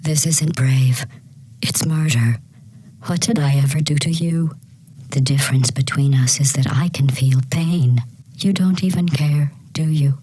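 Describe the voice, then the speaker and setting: A woman playing a menacing, sarcastic female artificial intelligence in a video game, deadpan and condescending, with synthetic processing on the voice. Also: deep voice, a little slow, slightly rough, dry recording, low-quality recording. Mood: reproachful. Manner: deadpan, passive-aggressive, condescending. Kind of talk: scripted video game voice acting.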